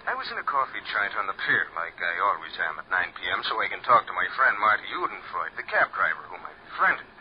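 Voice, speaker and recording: An actor playing a wisecracking harbor police officer in an old-time radio drama. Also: male voice, sits low, very animated, fast talker, hardly any pauses. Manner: wisecracking, flowery. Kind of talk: 1940s radio acting. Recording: muffled old radio recording, faint hiss.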